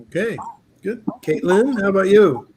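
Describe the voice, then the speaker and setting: Chatty host man, slightly high voice. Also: crowd noise. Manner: chatty, many filler pauses and restarts. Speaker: talk show host. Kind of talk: casual video-call interview. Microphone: video call.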